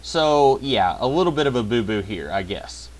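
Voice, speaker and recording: A man's voice: mid-range voice, lively intonation, normal speed, low-quality recording. A man talks through a scripted review in a mid-range voice that rises and falls a lot. His delivery is wry, with sarcastic asides. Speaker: video reviewer.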